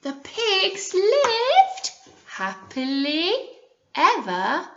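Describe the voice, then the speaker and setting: A woman's voice: very high voice, huge pitch swings, drawn-out words, sharp tone. A woman, a teacher reading a storybook expressively to young children and prompting them with simple questions. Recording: echoey room, slightly muffled.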